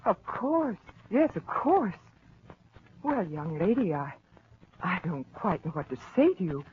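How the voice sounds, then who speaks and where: An actress playing a genteel, protective politician's wife in a 1940s radio crime drama, with a halting, theatrical delivery. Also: female voice, fairly low pitch, very animated, a little hiss or hum. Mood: puzzled, polite. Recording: tinny, narrow old-time radio recording.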